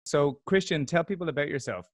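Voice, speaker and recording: A man hosting an interview, chatty and curious, asking relaxed questions. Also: on the high side, a little fast. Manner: chatty, curious, drops in learned phrases. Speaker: host. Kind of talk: casual interview chat.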